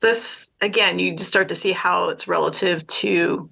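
Woman guest, casual and meandering, bright with a gravelly edge. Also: lowish voice, fairly even intonation, moderate pace. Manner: thoughtful, meandering, many fillers. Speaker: podcast guest, artist and author. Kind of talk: casual conversation.

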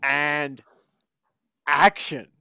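A man's voice: on the high side, steady tone, drawn-out words, pushed and bright, clean tone, dry recording, clean studio sound. A man co-hosting a sports podcast, chatting playfully and reading a story aloud. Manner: playful, laughs often. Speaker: podcast co-host.

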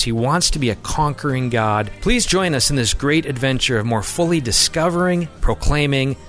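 A man's voice: mid-range voice, bright, forceful tone. A man signing off a radio show as its host, reading an earnest, emphatic closing message. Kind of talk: scripted show sign-off. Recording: music playing behind.

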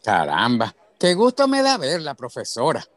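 An actor playing a burly, kindly older boss in a radio drama, frank and colloquial. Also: male voice, mid-range voice, huge pitch swings, normal speed, no echo. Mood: courteous. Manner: colloquial, folksy.

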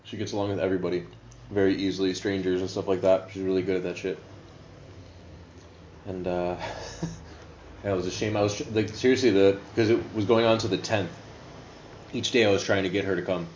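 A man's voice: deep voice, fairly even intonation, a little fast, pauses often, a little mellow. A man in his thirties chatting casually and joking as a podcast co-host. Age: 30s. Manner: laid-back, joking, laughs often. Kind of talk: casual chat. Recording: roomy, dull lo-fi sound with faint background noise.

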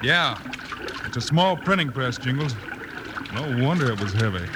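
A steady American cowboy hero played by a man from a vintage radio western. He is commanding and matter-of-fact, in a mid-pitched voice, with noticeable noise on the old recording. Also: moderate pace, natural voice. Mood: focused. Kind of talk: 1950s radio western acting.

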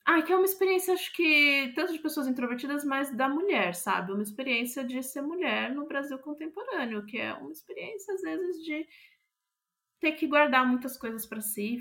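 A woman's voice: on the high side, gravelly, fairly bright tone. A woman with a Brazilian accent speaking reflectively as an interview guest, searching for her words and trailing off.

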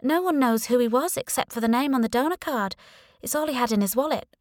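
A woman voicing a sympathetic female hospital worker who talks in a soothing, confiding, gently gossipy way. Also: high-pitched, fast, no room sound, studio quality, pitched above the performer's own voice, lightly acted.

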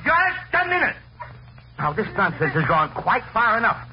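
A man's voice: sits high, huge pitch swings, moderate pace. An American actor playing an exasperated, blustering middle-aged father in 1940s radio comedy, flustered and emphatic. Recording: muffled, very noisy 1940s radio broadcast.

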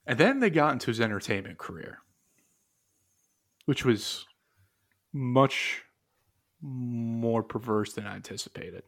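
A man's voice: mid-range voice, lively intonation, a little slow, gravelly, pauses often, a bit bright. A man co-hosting a casual podcast, rambling and hesitant, full of fillers and restarts as he reads aloud from his notes. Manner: muses aloud while reading from notes. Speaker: podcast co-host.

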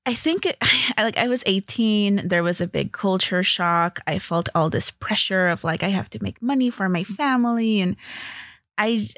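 A woman's voice: medium pitch, normal speed, a bit bright, dry recording, clean studio sound. A woman in her thirties from Los Angeles, a comedy TV writer chatting casually as a guest, laughing often and filling her talk with "like". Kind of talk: casual interview chat.

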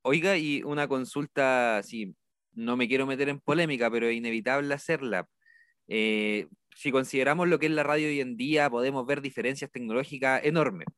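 A man's voice: mid-range voice, brisk, a bit bright, clear, resonant tone, no echo, studio quality. A man with a Chilean accent conducting a conversational interview in a polite, deferential and appreciative way.

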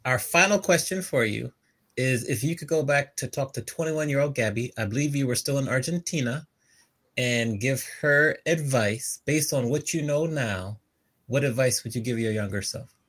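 A woman's voice: very deep voice, moderate pace, husky. A woman hosting an interview show, asking questions and cheering her guest on in a casual, encouraging way. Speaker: podcast host.

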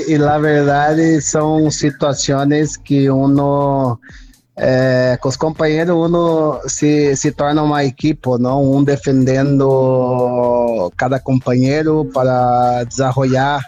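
A man's voice: mid-range voice, fairly even intonation, slow pace, darkish tone. A Brazilian man, a native Portuguese speaker, casually reminiscing as an interview guest and mixing two languages. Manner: mixes Portuguese and Spanish. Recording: dull lo-fi audio, music behind.

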